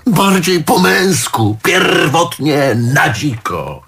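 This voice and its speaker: A man's voice: on the high side, big pitch swings, takes it slowly, gravelly, fairly bright tone, a little hiss or hum, heavily acted. An actor playing a jealous, wounded, fussy man in a stage drama, nagging and accusing in a repetitive way. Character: jealous, wounded man leaving his lover, brooding and fussy. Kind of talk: theatrical stage dialogue.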